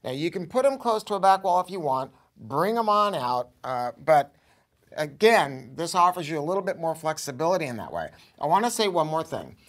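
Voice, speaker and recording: A man's voice: on the high side, big pitch swings, fairly quick, fairly bright tone. An American man in his sixties giving an enthusiastic, informal product review to camera, with an emphatic salesman's delivery. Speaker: audio store owner presenting a product review. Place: showroom, indoors.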